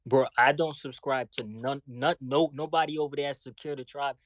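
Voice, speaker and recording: A man's voice: mid-range voice, fairly even intonation, normal speed, no echo. A man with a New York accent, speaking bluntly and indignantly in a heated online audio debate, often repeating himself. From New York City. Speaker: guest speaker.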